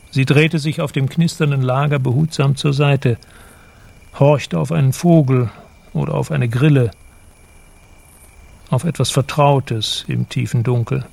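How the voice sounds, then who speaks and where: A man narrating a literary radio drama in a measured, descriptive manner. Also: mid-range voice, vocal fry, darkish tone.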